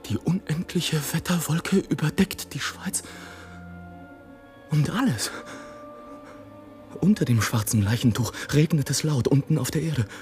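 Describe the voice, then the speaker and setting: Langsam sprechender, düster-trotziger Luftschiffer. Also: male voice, mid-range voice. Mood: hushed, brooding. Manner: theatrical, swinging from hushed brooding to exclamation. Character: brooding, defiant male balloonist facing death in storm. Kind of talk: literary radio play monologue.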